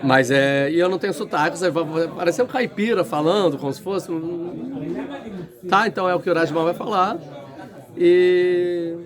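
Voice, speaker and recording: A man with a fairly high voice teaching a class in a large room. He talks casually and thinks aloud, often breaking off and restarting his sentences. Students can be heard clearly around him. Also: normal speed. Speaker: Torah teacher. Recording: room with crowd noise.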